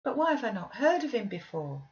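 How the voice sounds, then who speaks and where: A woman's voice: fairly high pitch, sing-song, fairly quick, highs cut off, pitched above the performer's own voice. Woman voicing a plaintive, anxious young boy. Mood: perplexed, plaintive. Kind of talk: audiobook character reading.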